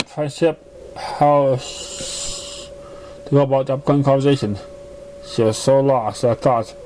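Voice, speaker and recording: A man narrating a story in a halting way, stumbling over words now and then. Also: mid-range voice, dark tone, slight background noise, low-quality recording. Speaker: amateur fan fiction reader.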